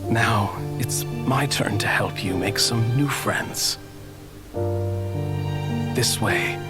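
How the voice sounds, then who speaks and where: A frail, dying male scholar in a video game, tender and resigned. The lowish, breathy voice moves slowly under loud music. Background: loud emotional music.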